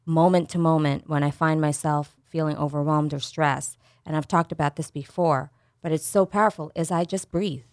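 Woman co-host, therapist, calm and affirming, lower voice, dry close sound. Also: moderate pace, dry recording. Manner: calm, affirming, frequent agreement. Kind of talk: casual chat.

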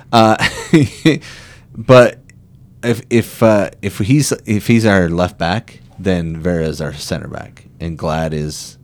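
A man's voice: low voice, a little mellow. A married man co-hosting a sports podcast, chatting casually and telling jokey stories. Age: adult, married. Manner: joking, tells stories with quoted voices. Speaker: podcast co-host. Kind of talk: casual chat.

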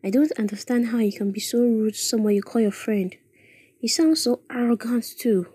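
A woman acts a firm, indignant young girlfriend in a radio play. Her voice is mellow and husky. The sound is dry and close, with a cool, reproving delivery. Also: fairly high pitch, normal speed, dry recording. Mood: indignant, earnest.

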